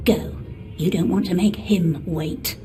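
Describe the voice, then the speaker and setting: A woman's voice: on the low side, fairly even intonation, a little slow, natural voice. Woman playing a haughty, taunting game villainess. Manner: taunting, condescending. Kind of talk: video game character acting. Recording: prominent music behind the voice.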